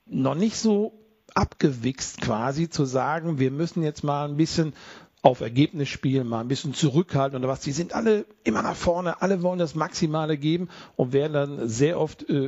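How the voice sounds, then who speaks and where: A man's voice: a little high, darkish tone, breathy edge, muffled recording. A man hosting a football podcast, asking long, flowing questions in casual talk. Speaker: podcast host.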